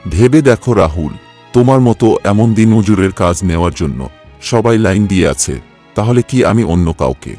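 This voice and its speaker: Guy doing a stingy bossy cartoon boss, really deep voice, talks down to people, music behind. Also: animated, a little fast, mellow. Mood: smug. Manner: condescending, bossy. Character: stingy, scornful male village contractor boss. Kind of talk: cartoon story voice acting.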